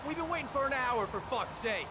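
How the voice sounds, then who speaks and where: An actor playing a brusque, cynical, impatient police lieutenant in a video game. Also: male voice, very high voice, animated, fairly quick. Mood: exasperated. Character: gruff, impatient, foul-mouthed male police lieutenant. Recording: loud outdoor machinery and traffic noise.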